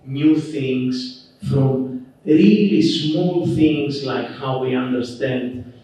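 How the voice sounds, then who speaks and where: Greek-accented man, slow keynote in echoing hall. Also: medium pitch. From Greece. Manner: relaxed and conversational, frequent "okay" and "uh" fillers. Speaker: keynote speaker. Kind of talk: conference keynote. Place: conference hall. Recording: roomy, distant, dull hall recording.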